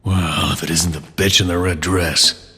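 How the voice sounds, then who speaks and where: A man's voice: sits low, steady tone, a little slow, bright, forceful tone, a little hiss or hum. A man voicing a menacing, distrustful mercenary soldier in a video game, threatening and taunting. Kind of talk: video game cutscene acting.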